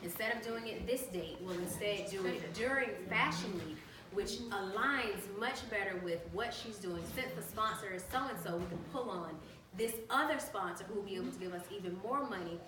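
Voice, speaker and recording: A woman panelist recounts a work story briskly and matter-of-factly. She is picked up from a distance in a roomy, echoing space, with a steady noise underneath. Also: mid-range voice. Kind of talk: panel discussion. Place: event room. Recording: echoey room, distant mic, background noise.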